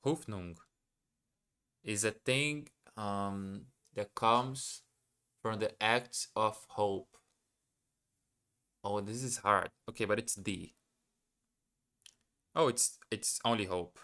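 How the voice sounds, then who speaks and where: Slow, bright-voiced man thinking aloud. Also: fairly low pitch. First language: Portuguese. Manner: hesitant, self-correcting, muttering to himself. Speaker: language learner streaming live. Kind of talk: thinking aloud while studying.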